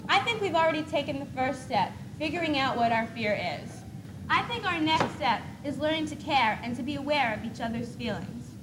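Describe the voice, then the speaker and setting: A very young female voice, pitched high, plays a thoughtful girl a student actress doing it who is unsure about boys. The delivery is a stage monologue from a school play. Clear noise sits on the 1980s video recording. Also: lively intonation, fairly quick. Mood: earnest, wistful. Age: young teenager. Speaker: student actor in a school one-act play.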